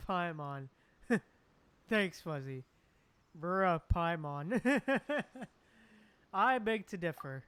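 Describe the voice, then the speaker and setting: A woman's voice: lowish voice, lively intonation, drawn-out words, pauses often, a bit bright, a little hiss or hum. A woman streaming a video game, reacting casually with short quips and laughs. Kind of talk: casual live commentary. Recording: game music playing behind.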